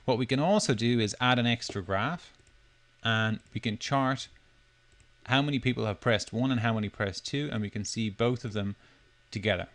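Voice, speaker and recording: A man presenting a software demo, talking through it step by step in a loosely unscripted, explanatory way. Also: medium pitch, moderate pace. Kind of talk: step-by-step screencast tutorial narration.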